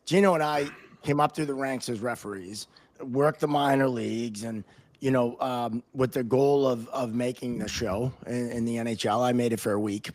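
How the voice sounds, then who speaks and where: A man hosting a podcast in a casual, conversational interviewing style. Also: mid-range voice. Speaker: podcast host, former referee.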